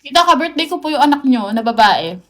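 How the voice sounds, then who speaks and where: A woman's voice: high-pitched, animated, quick pace. A Filipino woman chatting casually and playfully on a livestream, mixing in English. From Philippines. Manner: playful, chatty, mixes English into her talk. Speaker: livestream host.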